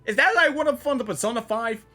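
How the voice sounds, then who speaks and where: A woman giving casual, excitable, exclamatory live reactions as a gaming video creator. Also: fairly high pitch, fast talker, faint music behind.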